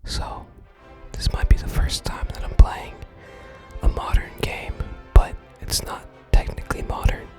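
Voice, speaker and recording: A person whispering softly while hosting an ASMR video game playthrough and reading game text aloud. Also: measured pace. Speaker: host of a gaming ASMR channel. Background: game music.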